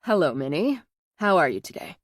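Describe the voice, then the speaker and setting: Audiobook role: awkward, earnest man, plain-spoken and self-conscious, lowish voice, read by a woman. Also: big pitch swings, fairly quick, dry recording, clean studio sound. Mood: polite, plain.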